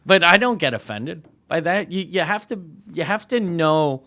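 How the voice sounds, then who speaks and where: A young man with a noticeably high, husky voice, the kind that gets him mistaken for a woman who smokes. He tells stories in a loose, rambling way, full of swearing and restarts, as a regular on a comedy show. His voice sits above the usual range for men. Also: animated, moderate pace, sharp tone. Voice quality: high-pitched voice. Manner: rambling, profane storytelling with restarts. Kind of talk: casual comedic chat.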